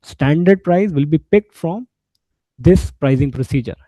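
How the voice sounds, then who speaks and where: A man giving an informal software walkthrough, explaining step by step in a halting way. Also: fairly high pitch, lively intonation, dry recording, low-quality recording. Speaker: SAP instructor.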